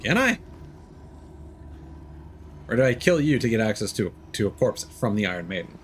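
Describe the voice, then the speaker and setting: A man with a fairly low voice chats casually while he plays, thinking out loud in loose, rambling sentences. He teases the characters on screen as he goes. A faint hum of noise sits under his voice. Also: very animated, moderate pace. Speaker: streamer playing a game. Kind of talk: casual let's-play commentary.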